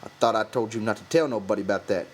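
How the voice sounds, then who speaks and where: A man voicing a disapproving ranch worker in an audiobook, gruff and scolding in rural vernacular. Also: fairly low pitch, rapid-fire, slight background noise, lightly acted. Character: stern ranch worker, disapproving leader of his friends. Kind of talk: audiobook character voice.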